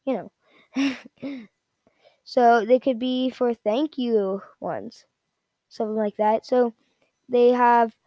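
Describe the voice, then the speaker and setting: Woman kinda rambling thru a product review, higher pitched but soft mellow tone, stops and repeats herself a lot. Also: steady tone, no echo. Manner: rambling, repetitive, halting. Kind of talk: casual unscripted product rundown.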